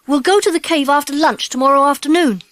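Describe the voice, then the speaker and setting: Excitable high-pitched British schoolchild. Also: female voice, fast talker, no echo, studio quality. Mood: eager. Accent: British English. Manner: exclamatory, old-fashioned schoolchild talk. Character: earnest, excitable British schoolchildren in a storybook gang.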